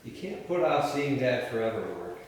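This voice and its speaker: A man's voice: mid-range voice, expressive, normal speed, close to an everyday voice. A man reading the part of an exasperated older brother in his thirties in a film script, sarcastic and reproachful. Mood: concerned. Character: Ray, exasperated thirty-two-year-old older brother driving. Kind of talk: table read of a film script. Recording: echoey room from far off, faint noise.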